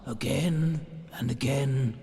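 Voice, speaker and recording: An actor playing a matter-of-fact keeper of a bonfire in a fantasy game, explaining things in a measured way. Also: male voice, mid-range voice, gravelly, warm tone, slight background noise. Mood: grave, explanatory. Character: male lore-keeper tending a bonfire among plague monsters, matter-of-fact. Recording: long reverb, music underneath.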